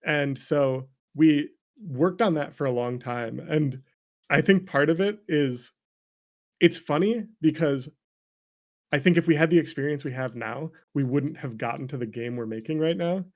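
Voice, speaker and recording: A man's voice: fairly high pitch, a little slow, a little mellow. A man talking casually as an interview guest about his work as a game developer, rambling and joking at his own expense. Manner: rambling, self-deprecating, jokey. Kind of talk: casual chat.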